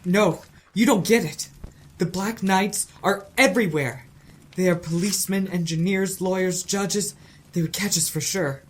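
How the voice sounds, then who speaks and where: A man's voice: high-pitched, a little slow. A man acting a troubled, intense young student cultist in an audio drama, curt and strained. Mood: wavering. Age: young adult.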